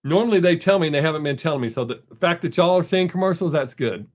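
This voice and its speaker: A man's voice: fairly high pitch, lively intonation, fast talker. A middle-aged man, hosting a casual live stream and chatting with viewers. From American South. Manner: chatty, rambling, reads chat aloud. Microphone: desk microphone.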